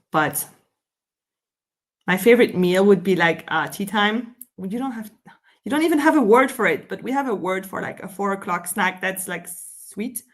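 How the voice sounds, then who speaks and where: A woman from Belgium with a Belgian French accent, chatting casually as co-host of a live stream, with lots of "um" and "like". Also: mid-range voice. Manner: chatty, laughs often, fillers like "um" and "like". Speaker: summit host.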